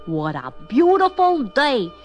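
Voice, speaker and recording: An innocent little boy piglet from a storybook, voiced by a woman. He is eager and earnest, with a high, lively voice and childlike emphasis. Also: slow, highs cut off, soft background music, exaggerated voice. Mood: eager delight.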